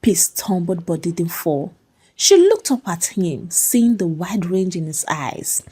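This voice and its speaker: A woman's voice: on the low side, sing-song, slow pace, warm tone, slightly rough. A woman telling a story as a dramatic scripted narration.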